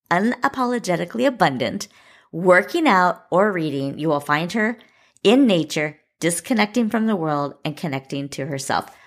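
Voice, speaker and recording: Lower-voiced woman hosting a podcast. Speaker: podcast host interviewing a guest. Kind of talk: introduction and interview questions.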